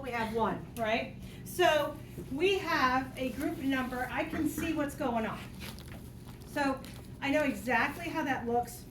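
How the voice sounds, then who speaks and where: A joking woman in her fifties leads a math lesson, talking students through tallies with a light teasing manner. Her voice sits high. She is heard from a distance, in a reverberant room with steady background noise. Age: 50s. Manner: playful, jokey, talks through examples aloud. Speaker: math teacher. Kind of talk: classroom lecture. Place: classroom. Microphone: distant room mic. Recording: echoey classroom, distant mic, noisy.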